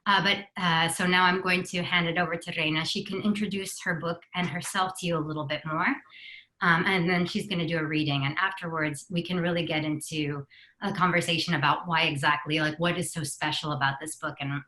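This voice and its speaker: A woman co-hosts, winding through her points with lots of ums. Her voice is low and bright, in a roomy, echoing space. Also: fairly even intonation, fairly quick. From Mexico. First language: Spanish. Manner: rambling, filler-heavy. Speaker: editor and co-host.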